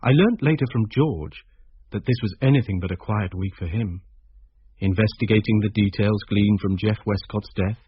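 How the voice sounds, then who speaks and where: A man's voice: on the low side, moderate pace, breathy edge, no echo. A man playing a young schoolteacher who narrates a detective story in a scripted radio drama. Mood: reflective, measured. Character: presentable young male schoolteacher recounting a murder case. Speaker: narrator and character in a radio play. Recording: dull radio recording, music behind.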